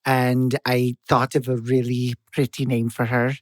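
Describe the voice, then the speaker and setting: A man's voice: medium pitch, monotone, measured pace, no echo, clean studio sound. A man playing in a tabletop game podcast, rambling and giggly. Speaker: player. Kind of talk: casual podcast chat.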